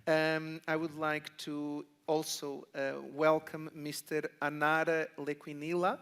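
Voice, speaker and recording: A man's voice: fairly high pitch, level tone, slow, fairly bright tone, clear, resonant tone. A man moderating a conference panel, introducing speakers formally and courteously with occasional hesitations. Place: conference room.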